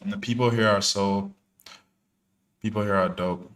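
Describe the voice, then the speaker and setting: A man's voice: on the low side, fairly even intonation, moderate pace, a bit bright, a little hiss or hum. Laid-back slangy young man. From born in New Jersey, parents from Ghana. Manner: laid-back, slangy, lots of fillers and restarts. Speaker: day trader streaming live. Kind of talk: casual live-stream commentary.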